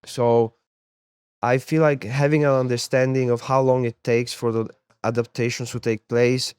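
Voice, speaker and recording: Smooth-voiced Polish-born man, unhurried. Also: medium pitch, no echo. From Poland. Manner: relaxed, repeats words while finding them. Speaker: guest, sports therapist and MMA coach. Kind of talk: casual interview talk.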